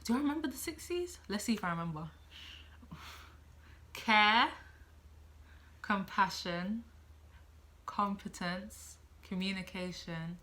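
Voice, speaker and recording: A woman's voice: medium pitch, sharp tone. A woman in her late teens talking casually to camera as a student midwife giving advice, chatty, thinking aloud and repeating herself.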